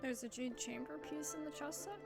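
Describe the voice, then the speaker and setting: A woman reacts casually as she plays a video game. Her voice is high with plenty of vocal fry, and game music is clearly audible behind her. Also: fairly quick. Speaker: gamer reacting to a story quest. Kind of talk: casual playthrough commentary.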